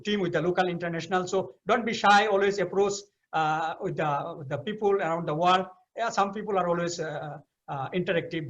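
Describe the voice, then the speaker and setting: High-voiced Bangladeshi man, full of uh's. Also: a bit bright, lo-fi sound. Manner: hesitant, many uh fillers and restarts. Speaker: professor giving a webinar talk. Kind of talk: advice talk in English.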